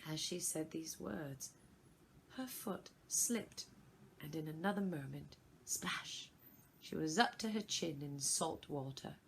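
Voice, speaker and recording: A woman narrating a children's story expressively, with deliberate, dramatic phrasing. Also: sits low, creaky, a little hiss or hum, rough recording. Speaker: volunteer story reader.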